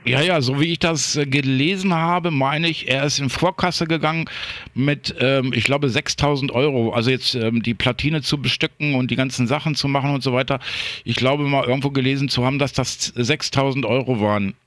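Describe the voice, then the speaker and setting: A man with a medium-pitched voice talks casually as a ham radio operator. His delivery is halting, with repeated words, and the sound is dry and close. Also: a bit bright, no room sound. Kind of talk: casual unscripted radio chat.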